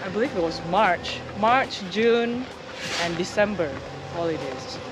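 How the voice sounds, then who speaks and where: A woman's voice: mid-range voice, animated, unhurried, noisy background. A young adult woman from Indonesia, a guest in a casual interview, speaking hesitantly with many fillers and restarts.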